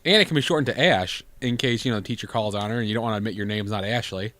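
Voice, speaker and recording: A man's voice: on the low side, very fast, fairly bright tone, dry recording. A man giving casual, wry and sarcastic commentary as he plays a video game. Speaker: video game let's play host.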